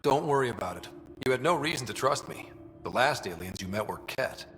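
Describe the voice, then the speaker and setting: Easygoing, lively young space explorer played by a man. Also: mid-range voice, brisk, close to an everyday voice. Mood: reassuring. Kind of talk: video game dialogue acting. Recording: long reverb, music underneath.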